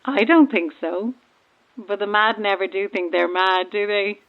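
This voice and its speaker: A woman's voice: mid-range voice, a little slow, lo-fi sound. Thin radio-filtered woman, eerily teasing. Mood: knowing, teasing. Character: eerie, self-aware female ghost believer calling from stranded boat. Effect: radio transmission filter. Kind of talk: naturalistic audio horror drama acting. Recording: thin, band-limited marine radio.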